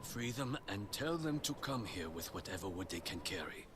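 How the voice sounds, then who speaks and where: An actor playing a seasoned spear master in a video game, a stern yet encouraging teacher with a measured, commanding, instructive manner. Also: male voice, lowish voice, expressive, natural voice. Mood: earnest. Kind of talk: video game character acting. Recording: clear noise of game ambience.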